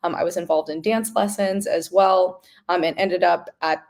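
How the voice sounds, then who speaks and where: An American woman from Los Angeles answering interview questions conversationally, in a halting, thoughtful way. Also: lowish voice, steady tone, a little mellow. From Los Angeles, United States. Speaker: podcast guest, company founder.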